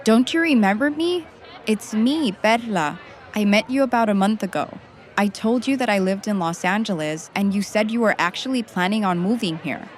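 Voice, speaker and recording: A woman's voice: mid-range voice, normal speed, natural voice. A woman playing a cool, casual young goth in a comic audio drama. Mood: excited recognition.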